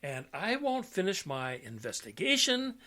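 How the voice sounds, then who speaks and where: An actor playing an insistent police lieutenant in a radio detective play, brusque and probing. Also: male voice, sits high, huge pitch swings, normal speed, sharp tone, full character voice. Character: insistent, needling male police lieutenant questioning suspects. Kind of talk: old-time radio detective play acting.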